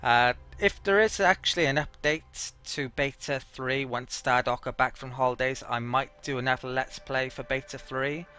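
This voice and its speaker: A man's voice: medium pitch, fairly even intonation, moderate pace, hardly any pauses, bright, forceful tone, smooth. A man giving casual gameplay commentary as a let's play host, thinking aloud in a hesitant, rambling way.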